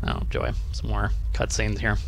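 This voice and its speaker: A man's voice: lowish voice, expressive, measured pace, vocal fry, faint music behind. A man giving casual let's play commentary, muttering offhand to himself as he plays. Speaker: gaming video host. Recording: noisy game ambience behind the voice. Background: game ambience.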